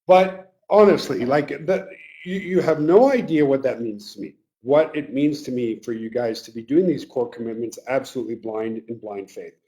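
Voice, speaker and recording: A man hosting a live webinar, talking earnestly and emotionally to his team, his voice getting a little raspy. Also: medium pitch, moderate pace, dark tone. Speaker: webinar host. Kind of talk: live webinar pep talk.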